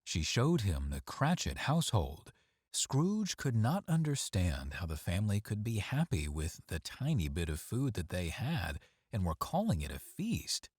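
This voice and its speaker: A man reading a story aloud as scripted narration, with dramatic, measured phrasing. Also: on the low side, huge pitch swings, darkish tone, breathy edge, dry recording, studio quality. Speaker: story reader.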